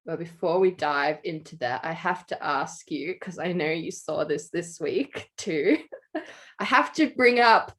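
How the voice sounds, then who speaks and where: Laughing woman, lively pitch. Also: unhurried. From Australia. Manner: laughs often, animated storytelling. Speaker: podcast co-host. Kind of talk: casual chat.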